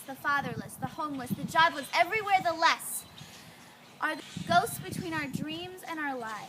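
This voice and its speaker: A woman's voice: sits high, background noise. A young woman reciting slam poetry in an earnest, rhythmic way, leaning on repeated phrases. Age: young adult. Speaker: student poet.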